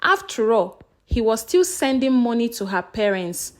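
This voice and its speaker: A woman tells a folktale with dramatic expression. Her voice sits very high and moves widely in pitch as she narrates. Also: very animated, normal speed. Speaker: storyteller.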